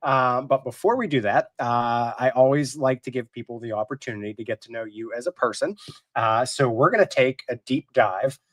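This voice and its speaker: A man's voice: mid-range voice, dry recording. A man hosting a live video-call interview show, a little stuffy from a cold, chatty and friendly with many fillers. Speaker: host.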